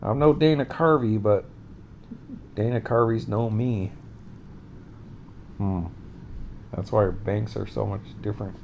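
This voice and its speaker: Man, chatty card-opening host, stuffy nose, gravelly voice, somewhat low, dull lo-fi recording. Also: measured pace, long pauses, a little hiss or hum. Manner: chatty, addresses viewers as folks. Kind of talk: casual chat.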